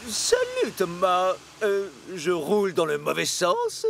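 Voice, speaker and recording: A friendly, eager young engine in a cartoon, always ready to help. The voice swings very widely in pitch and drags slowly along. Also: female voice, wildly swooping pitch, creaky, a bit bright, a little hiss or hum, full character voice.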